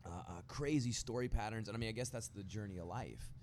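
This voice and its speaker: A man hosting a casual podcast interview, speaking haltingly and repeating words as he goes. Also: lowish voice, darkish tone. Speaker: podcast host. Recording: clear background noise.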